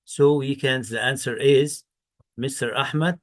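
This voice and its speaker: A man, an Arabic speaker, teaching English by reading exam questions deliberately, repeating words and explaining answers. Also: mid-range voice, fairly even intonation.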